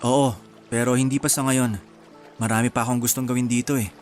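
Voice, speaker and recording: An actor with a Filipino accent playing an easygoing, sentimental young man in a radio drama, relaxed and reflective. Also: medium pitch, fairly quick, soft background music, natural voice. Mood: agreeable. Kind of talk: Tagalog radio drama acting.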